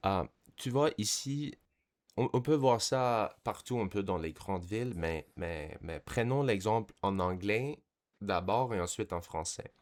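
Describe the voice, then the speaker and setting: A man hosting a podcast, explaining in a lively conversational way and checking in with his listener. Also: on the low side, a little slow. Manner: animated, explaining, checks in with "tu sais?". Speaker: podcast host. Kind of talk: casual conversation.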